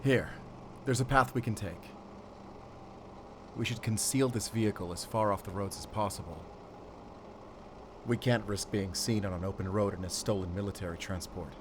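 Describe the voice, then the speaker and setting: A man's voice: on the low side, normal speed, pauses often, background noise, close to an everyday voice. A man playing an urgent, protective and commanding wolf hunter in a cinematic audio drama. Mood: tense, practical.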